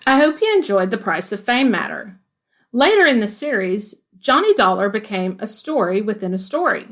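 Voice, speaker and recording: A woman's voice: mid-range voice, very animated, moderate pace, fairly bright tone. A woman hosting a podcast, delivering scripted narration. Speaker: podcast host.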